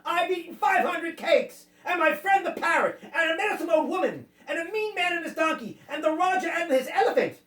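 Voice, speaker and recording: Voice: very high voice, expressive, a bit bright, pitched above the performer's own voice. A storyteller voicing a gluttonous female cat, indignant and boastful, in a theatrical children's tale. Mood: gleeful, menacing. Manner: drawn-out indignant echoing questions, then rapid boastful listing. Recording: roomy echo, distant microphone.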